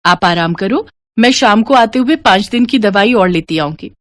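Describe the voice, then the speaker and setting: A woman acts out an earnest, devout young wife from a storybook tale. She speaks politely, in a raised and very lively pitch. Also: moderate pace, no room sound, natural voice. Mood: reassuring.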